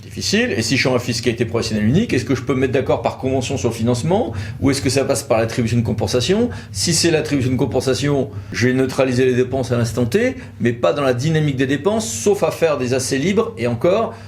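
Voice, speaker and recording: A man with a mid-pitched voice explains legal material like a lawyer talking it through with a colleague. He is didactic yet conversational, often posing questions to himself as he reasons aloud. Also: expressive, fast, few pauses.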